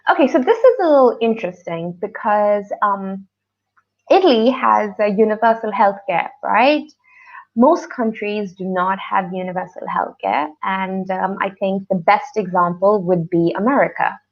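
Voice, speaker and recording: Female webinar teacher from Pakistan, medium pitch, informal and a little hesitant, lo-fi call sound. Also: moderate pace. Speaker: English teacher co-hosting a webinar. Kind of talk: online lesson, informal explaining. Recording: dull, lo-fi online call audio.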